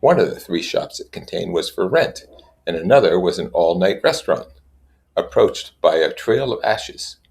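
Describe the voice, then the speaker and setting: A man reading literature aloud in a measured way, with casual explanatory asides. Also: sits low, expressive, gravelly, darkish tone. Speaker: reader and commentator.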